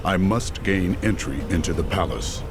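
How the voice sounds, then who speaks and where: An actor voicing a stern, resolute holy warrior hero in a game. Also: male voice, deep voice, moderate pace, music in the background, close to an everyday voice. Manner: stern, gravelly, solemn. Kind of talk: game cutscene acting and narration.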